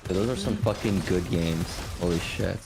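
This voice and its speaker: A man with a very low voice rambles excitedly about a match, stumbling over fillers and cursing. Game sounds and gunfire come through clearly behind his voice chat. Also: moderate pace, background noise. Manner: rambling, full of fillers and swearing. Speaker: teammate on voice chat. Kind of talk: casual gaming chat.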